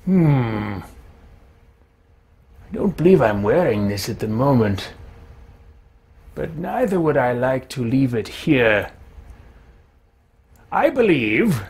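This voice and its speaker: A man voicing a fussy, absent-minded, genteel fantasy wizard, musing in formal, deliberate phrases as he roleplays through a video game. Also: medium pitch, very animated, a little mellow, breathy edge, exaggerated voice. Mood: puzzled, musing. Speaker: let's-play streamer roleplaying his game character. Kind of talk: in-character game roleplay commentary. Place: small indoor room at home.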